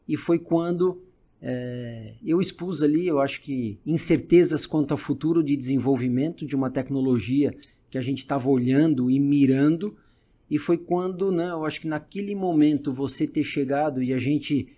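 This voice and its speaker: Mellow-voiced middle-aged male host. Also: a little high, normal speed. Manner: reflective, hesitant, many fillers. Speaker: podcast host, dentist. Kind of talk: casual interview conversation.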